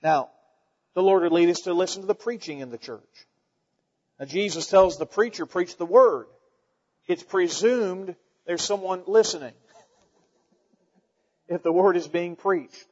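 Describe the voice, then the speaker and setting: A man's voice: sits high, unhurried, pauses often, darkish tone. A man in his forties preaching a fervent sermon to his congregation, full of rhetorical questions and folksy asides. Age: 40s. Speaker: pastor. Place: church sanctuary. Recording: dull sound in a reverberant church hall.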